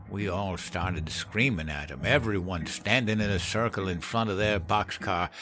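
A man's voice: fairly low pitch, a bit bright. An actor playing a haunted older countryman who tells a grim memory in a blunt, folksy, profane way, in dramatized audio fiction. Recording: music behind the voice.

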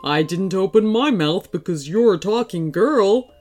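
Woman voicing a hearty, animated talking Christmas tree. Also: mid-range voice, a little slow, faint music behind, natural voice. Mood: playfully indignant. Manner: hearty, playful, proud.

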